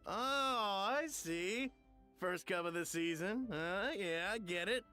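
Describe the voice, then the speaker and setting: A woman's voice: medium pitch, slow, bright, forceful tone. A woman voicing a friendly, easygoing cafe server in a video game, with game music behind her. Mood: genial.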